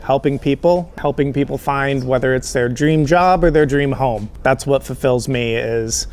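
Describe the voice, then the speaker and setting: A man giving casual, friendly answers in an interview, relaxed and chatty with frequent ums. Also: mid-range voice, normal speed, faint music behind. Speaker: healthcare recruiter, interview guest.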